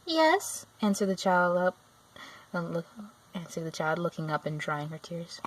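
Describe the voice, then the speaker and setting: A woman reading a children's story aloud as an amateur narrator, a little halting as she goes. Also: fairly low pitch, steady tone, far from the mic, poor audio.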